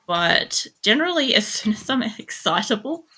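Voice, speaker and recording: A young woman streaming a game and chatting casually to her viewers, mostly flat in tone, rambling with lots of "like" and the odd swear. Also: normal speed, slight background noise. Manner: mostly monotone, jumps much higher when excited.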